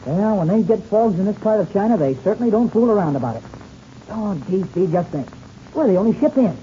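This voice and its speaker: A man's voice: sits high, animated, brisk, dark tone, highs cut off, slight background noise. A young man playing an eager, boyish pilot in a 1930s radio serial, earnest and full of gee-whiz exclamations, on an old radio recording. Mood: awed.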